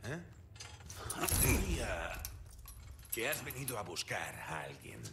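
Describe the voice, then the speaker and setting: Wry, drawling Spanish rogue, low-pitched. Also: male voice, huge pitch swings, slow pace, heavily acted. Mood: sly, probing. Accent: European Spanish. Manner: sardonic, drawling, teasing. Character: wry roguish adult male captive, cocky and talkative. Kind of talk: video game cutscene acting. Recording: roomy echo, noisy with clinking chains.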